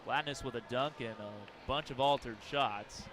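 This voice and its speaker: A man calling live basketball play-by-play for radio in clipped descriptive phrases, quick to get excited. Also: mid-range voice. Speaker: play-by-play announcer. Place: courtside in an arena. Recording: noisy gym with bouncing balls. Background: basketball game arena noise.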